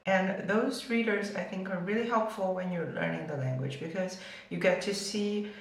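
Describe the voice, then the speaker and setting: A woman's voice: mid-range voice, moderate pace. A young woman whose first language is Chinese, chatting casually about books in a vlog and filling her sentences with fillers and restarts. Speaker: booktuber. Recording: very echoey room, far from the mic.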